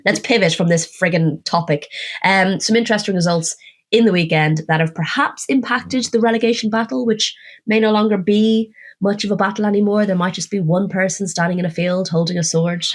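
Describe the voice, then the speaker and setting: A woman, co-hosting a casual football podcast with a bunged-up, nasal, croaky voice, chatty and self-mocking as she runs through the scores. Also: lowish voice. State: congested, croaky voice. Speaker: podcast co-host.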